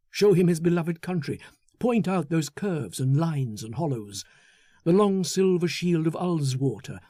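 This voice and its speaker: Male storyteller, husky and mellow, dramatic, deliberate, intense, dry close sound, literary narration. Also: a little high, dry recording. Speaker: audiobook narrator.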